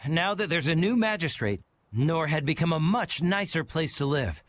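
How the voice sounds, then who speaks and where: Game role: man as polite, concerned townsman, lightish pitch, animated delivery. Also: normal speed, lightly acted. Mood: earnest. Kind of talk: game cutscene voice acting.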